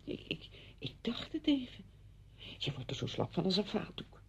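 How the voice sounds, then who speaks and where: A woman's voice: medium pitch, big pitch swings, moderate pace, creaky, breathy edge, whispered, exaggerated voice. An actress playing a chatty, apologetic married woman visiting a friend in a radio drama, effusive, rambling and flustered.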